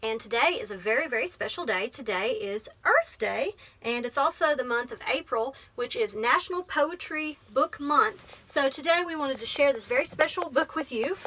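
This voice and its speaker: A woman's voice: high-pitched, expressive, moderate pace, hardly any pauses, bright, forceful tone. A woman library teacher reading a picture book aloud, explaining things simply and prompting with questions.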